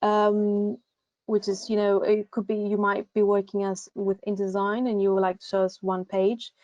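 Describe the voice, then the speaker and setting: A woman's voice: mid-range voice, flat delivery, moderate pace, a little mellow, no echo, highs cut off. A Greek woman with a Greek accent, speaking English as a second language, gives casual advice for a university recruitment session. She hesitates often, with many fillers and restarts. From Greece.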